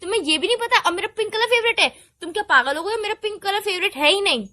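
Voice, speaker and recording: A young woman acting as a fussy girlfriend in a phone-call drama. Her voice is high and bright, and she talks quickly in a whiny, insistent, bickering way. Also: animated, heavily acted. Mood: annoyed, scolding. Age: young adult.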